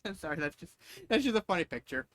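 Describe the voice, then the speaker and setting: A man's voice: fairly high pitch, sing-song, quick pace, a bit bright. A man reading a story aloud in a loose, jokey way, often breaking off into casual asides. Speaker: fanfiction reader.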